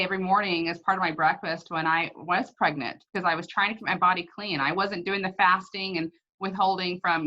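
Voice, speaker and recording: A woman's voice: on the low side, bright, forceful tone, highs cut off. A woman hosting an informal chat, talking enthusiastically and personally about products she uses. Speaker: host. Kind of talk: casual chat, product testimonial.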